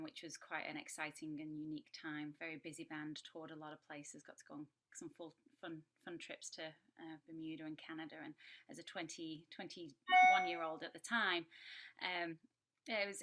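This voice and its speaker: A woman's voice: deep voice, fairly bright tone. A middle-aged woman with a Yorkshire accent, introducing herself as a guest brass educator over a remote call, chatty and full of ums and restarts. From Yorkshire, England. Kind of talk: relaxed conversational self-introduction.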